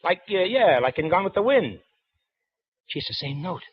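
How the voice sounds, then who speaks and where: A man's voice: high voice, big pitch swings, normal speed, slightly rough, no echo, exaggerated voice. An actor playing a brash, eager male police lieutenant in a 1940s radio mystery, talking in streetwise slang, on a muffled old broadcast.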